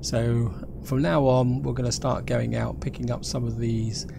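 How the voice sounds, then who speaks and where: A man with a cold talks through a game in a relaxed way, explaining each move as he goes. His voice is gravelly and sits in a middling pitch. A loud rumble of engines from the game runs under everything he says. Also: moderate pace. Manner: relaxed, explains step by step, often restarts phrases. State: has a cold. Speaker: gameplay video host. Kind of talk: casual gameplay commentary. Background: loud vehicle and engine rumble from the game.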